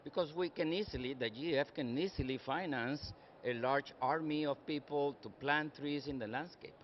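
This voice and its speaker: A man's voice: on the high side, muffled recording, slight background noise. Earnest older Costa Rican man at a conference. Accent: Costa Rican Spanish. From Costa Rica. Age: 60s. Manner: earnest, measured, builds points in lists. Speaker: conference speaker. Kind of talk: conference panel remarks. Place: conference session room.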